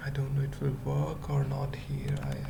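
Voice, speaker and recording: A tutorial presenter thinking aloud in halting, murmured fragments during an informal coding screencast. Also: medium pitch, flat delivery, creaky, background noise. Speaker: web development tutorial presenter.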